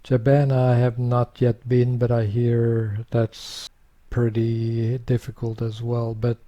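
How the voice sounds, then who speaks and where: A man's voice: mid-range voice, monotone, slow pace, dark tone, highs cut off. An older man from Norway talking casually to camera, rambling with many fillers and sharing opinions. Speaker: vlogger.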